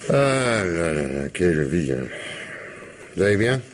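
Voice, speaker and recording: A man acting a brisk, weary, wry police commissioner in a French radio crime drama, with a French accent and blunt colloquial delivery. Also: fairly low pitch, lively intonation, a little mellow, slight background noise, natural voice.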